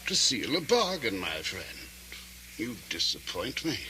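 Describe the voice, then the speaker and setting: An actor playing a sly, cruel nobleman in a radio drama, speaking with pompous mock courtesy and sinister smoothness. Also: lowish voice, huge pitch swings, measured pace. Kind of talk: theatrical radio drama acting. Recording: noisy vintage radio drama recording.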